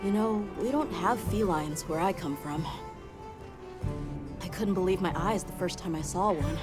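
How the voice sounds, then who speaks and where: A woman's voice: on the low side, normal speed, over music, natural voice. A woman voicing a shy, curious young newcomer in a video game, talking in a hesitant, earnest way. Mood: wondering. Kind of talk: video game cutscene voice acting.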